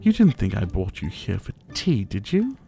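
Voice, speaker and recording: A man playing a suave, possessive vampire who was once a doctor, speaking to the listener in a theatrical, softly menacing ASMR roleplay. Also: on the low side, huge pitch swings, moderate pace, vocal fry, faint music behind, full character voice. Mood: teasing, controlling. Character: older male vampire captor, former doctor, suave, possessive.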